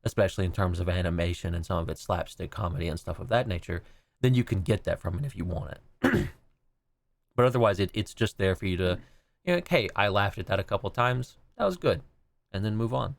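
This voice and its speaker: This is a man with a distinctly low voice. He co-hosts a podcast in a casual, conversational style. He offers opinions in an easygoing way and readily grants the other side. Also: lively intonation, brisk, gravelly. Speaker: podcast co-host. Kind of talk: casual chat, giving opinions.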